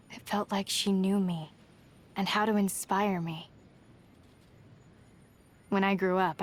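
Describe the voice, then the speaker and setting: Casting: actress as resolute young huntress for a video game, plain-spoken and self-contained, mid-range voice. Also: female voice, mid-range voice, lightly acted. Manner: plain-spoken, understated, determined. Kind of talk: video game character voice lines.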